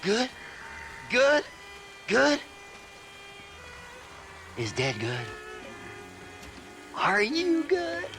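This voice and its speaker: Nutty old west grave robber, super high creaky southern voice, mocking and manic, game cutscene w/ music. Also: male voice, huge pitch swings, drawn-out words, pauses often, a bit bright, heavily acted, rough recording. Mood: giddy. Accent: American Southern. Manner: manic, taunting, swinging between mutters and shrieks. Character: crazed grave-robbing treasure hunter, gleefully unhinged western eccentric. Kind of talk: video game western acting.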